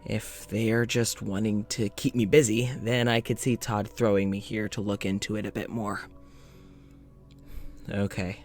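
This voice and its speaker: A man's voice: fairly low pitch, level tone, unhurried, soft background music, lightly acted. A man playing a polite, uneasy scientist in an audio drama, speaking in a reflective, hesitant, conversational way, as if into a journal. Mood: uneasy, curious. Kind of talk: audio drama monologue and dialogue.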